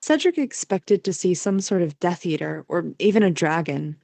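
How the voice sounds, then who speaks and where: A woman narrates an audio play in a mellow, somewhat low voice. Her scripted storytelling is recorded very dry and close. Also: animated, moderate pace, vocal fry, no echo.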